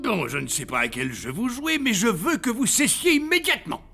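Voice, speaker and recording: A man with a creaky voice, playing an excitable, exasperated cartoon character who is quick to scold. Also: high voice, full character voice. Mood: stern. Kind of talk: animated cartoon dub acting. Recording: loud music behind.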